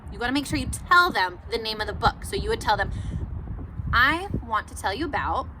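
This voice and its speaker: Very animated high-voiced woman teaching kids. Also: noisy background. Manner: animated, encouraging, asks questions and pauses for answers. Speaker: teacher reading aloud to children. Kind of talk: interactive children's read-aloud, talking to young viewers.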